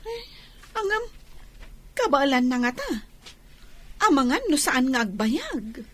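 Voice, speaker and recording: Radio drama gossip played by a woman, high-voiced and slow-paced, playful, incredulous manner. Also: big pitch swings, a bit bright, full character voice. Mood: teasing, incredulous. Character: gossipy, teasing young woman at a new lodging business. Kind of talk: Ilocano radio drama acting.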